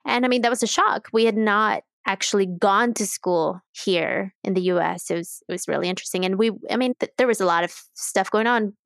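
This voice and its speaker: A woman's voice: mid-range voice, brisk, creaky, few pauses, a bit bright, no room sound. Candid woman from Mexico City, dry close sound. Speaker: podcast guest. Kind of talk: casual personal storytelling.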